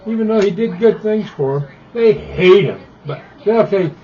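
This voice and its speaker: A man's voice: sits high, animated, normal speed, a little hiss or hum. An American man in his eighties chatting casually as an amateur radio operator, with a blunt, opinionated, rambling manner. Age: 80s. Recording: dull lo-fi ham radio audio.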